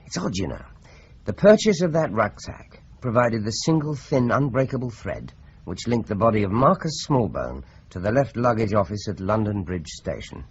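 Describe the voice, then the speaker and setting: Low, measured detective, animated phrasing, muffled radio-play sound. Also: male voice, slight background noise. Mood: explaining. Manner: measured and explanatory. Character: calm, measured senior male detective.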